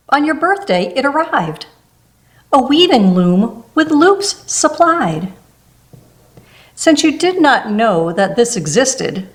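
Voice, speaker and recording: A woman reads a rhyming children's book aloud, her voice sitting a little high. She takes the verses at a slow, gentle pace. Now and then she adds a soft aside between the pages. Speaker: storybook reader.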